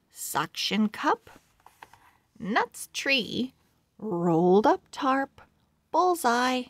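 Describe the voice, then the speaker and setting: Woman, children's read-aloud narrator, very slow deliberate pace, high lively pitch, expressive. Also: no echo. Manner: deliberate, carefully articulated.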